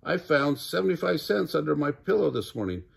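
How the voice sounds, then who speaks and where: A man voicing an excitable, earnest young boy in a children's storybook, eager and a little anxious. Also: fairly high pitch, fairly quick, creaky, breathy edge, voice pushed up, heavily acted. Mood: excited.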